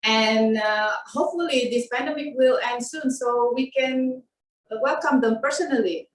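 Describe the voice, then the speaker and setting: A woman's voice: a little high, normal speed, a little hiss or hum. A middle-aged woman from Indonesia, whose first language is Indonesian, giving a chatty, playful cooking demonstration from her home kitchen over a video call. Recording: echoey room, far from the mic.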